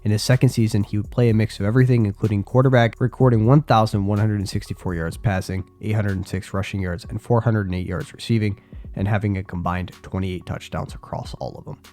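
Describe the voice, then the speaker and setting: A man's voice: on the low side, steady tone, fast, a little mellow. A young man with a congested voice narrating a scripted sports video, with casual asides to his viewers. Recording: faint music behind voice.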